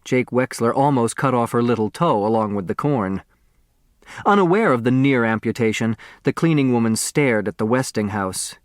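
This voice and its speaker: An American man narrates a story in a medium-pitched voice. His delivery is expressive and precise, and the recording is close and dry, as in a studio. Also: moderate pace, no room sound. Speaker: audiobook narrator.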